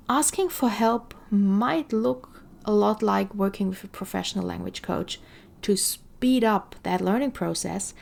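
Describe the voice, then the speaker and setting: A woman's voice: mid-range voice, vocal fry, darkish tone. A middle-aged woman language coach giving an online lesson, emphatic and playful, with teasing asides to the viewer.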